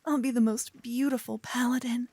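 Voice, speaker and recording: A woman playing a silly, adorable young paladin in an ASMR audio roleplay, teasing and theatrical, hushed in places. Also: a little high, steady tone, moderate pace, breathy edge, no room sound. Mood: defiant, dreamy. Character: adorable silly young female paladin, bossy, smitten, playful know-it-all.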